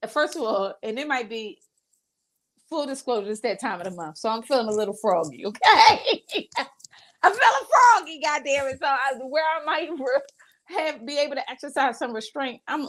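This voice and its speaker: Animated, blunt woman co-host. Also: high voice, normal speed. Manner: animated, blunt, profane, swings into mock-outraged asides. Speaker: podcast co-host. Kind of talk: casual reality TV review chat.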